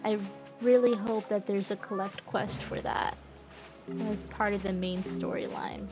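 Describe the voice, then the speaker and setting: A woman's voice: medium pitch, fairly even intonation, a little slow. A woman giving casual gameplay commentary as a streamer, thinking aloud as she plays. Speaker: game streamer. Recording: game music under the voice. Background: video game music.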